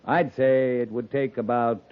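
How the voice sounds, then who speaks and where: An actor playing a stern, shrewd, commanding US Army cavalry captain in 1950s radio western style. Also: male voice, medium pitch, fairly even intonation, a little slow, clean tone, lightly acted. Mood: stern, challenging. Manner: commanding, clipped, sternly challenging. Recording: muffled lo-fi 1950s radio recording.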